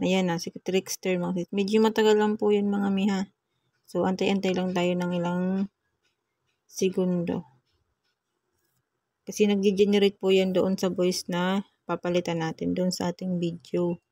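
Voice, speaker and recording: Filipino woman, even-toned and softly mellow. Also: lowish voice, a little slow, pauses often, no room sound. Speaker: vlogger giving a phone editing tutorial. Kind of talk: casual step-by-step tutorial.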